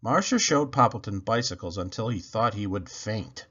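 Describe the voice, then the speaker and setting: A man narrating a children's storybook in an expressive read-aloud style. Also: on the low side, moderate pace, breathy edge, lo-fi sound. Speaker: storybook reader.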